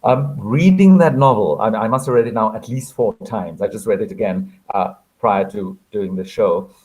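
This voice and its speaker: Male moderator, slightly low voice, conversational interviewing delivery. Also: normal speed, a little mellow, rough recording.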